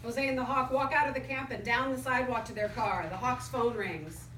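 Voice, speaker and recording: In an echoey space, a little far from the mic, a woman narrates a scripted stage reading. Her voice is high and bright. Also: steady tone, fairly quick. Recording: distant echoey live stage, faint noise.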